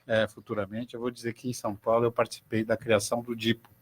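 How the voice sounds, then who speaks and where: An older man, a judge speaking in a formal, measured way as a guest on a radio talk show. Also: medium pitch, little pitch movement, crowd noise.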